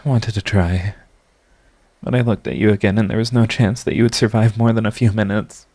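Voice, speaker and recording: A man acting as a low-voiced, secretly devoted villain. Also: steady tone, fairly quick, darkish tone, no echo. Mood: urgent, pained. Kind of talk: dramatic ASMR audio roleplay.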